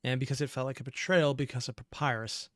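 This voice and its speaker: A man's voice: medium pitch, steady tone, fast, a bit bright, no echo, studio quality. A man hosting a reaction video, giving informal, opinionated, analytical commentary off the cuff.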